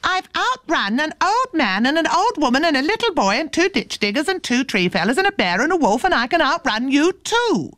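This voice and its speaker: A woman's voice: a little high, very animated, moderate pace, few pauses, no room sound, clean studio sound, voice pushed up. A woman voicing a boastful little runaway johnny cake in a storybook, reciting his boasts in a sing-song way. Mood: boastful, gleeful.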